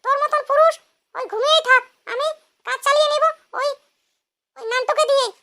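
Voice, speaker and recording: A performer voicing a brash, sharp-tongued village wife in a comic cartoon, scolding and taunting through a chipmunk-like pitch effect. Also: fairly even intonation, brisk, exaggerated voice.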